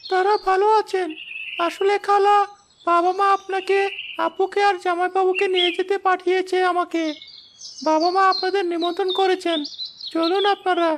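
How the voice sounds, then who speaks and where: A woman's voice: extremely high pitch, little pitch movement, moderate pace, slight background noise. A woman playing an eager young little brother, prone to sulking, in a Bengali cartoon. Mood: polite.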